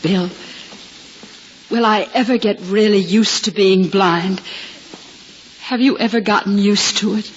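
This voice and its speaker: An actress as a vulnerable young blind woman in an old radio play. She speaks earnestly and haltingly, full of fragile hope. The 1950s recording is dull, with a faint hiss. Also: medium pitch, steady tone, natural voice. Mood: hesitant, hopeful. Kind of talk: 1950s radio drama acting.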